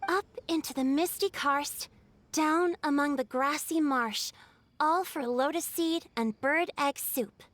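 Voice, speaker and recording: An actress playing a gentle young woman who recites poetic lines deliberately. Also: high voice. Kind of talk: game cutscene voice acting.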